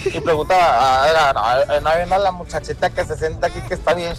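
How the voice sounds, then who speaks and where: A man's voice: a little high, steady tone, fairly quick, soft background music. A man leaving a joking voice message for a radio show, making fun of school memories. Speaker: listener sending a voice message. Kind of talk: casual voice message.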